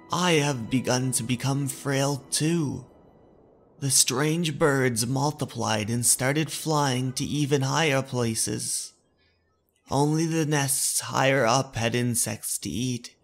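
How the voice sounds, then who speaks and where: Slow-paced male horror story narrator. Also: mid-range voice, vocal fry. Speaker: narrator and channel host. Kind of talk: scripted horror story narration and channel sign-off.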